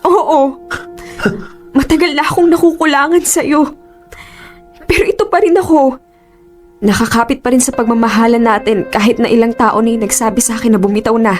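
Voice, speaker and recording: Female actor as tender, pleading young fiancée for Filipino radio drama; fairly high voice, emotional delivery over music. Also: darkish tone, natural voice. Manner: emotional, imploring. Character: earnest, pleading young bride-to-be, torn and tender.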